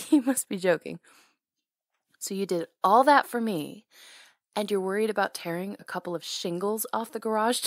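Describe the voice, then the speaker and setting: A woman's voice: medium pitch, dry recording, studio quality. A woman acting in an intimate ASMR roleplay as a hair stylist, talking casually in a caring, teasing way.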